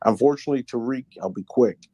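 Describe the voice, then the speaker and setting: A man's voice: mid-range voice, quick pace, warm tone, dry recording. A young man from Michigan calling into a live show, hesitant and full of fillers and restarts. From United States (Michigan). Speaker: caller. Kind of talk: casual call-in talk.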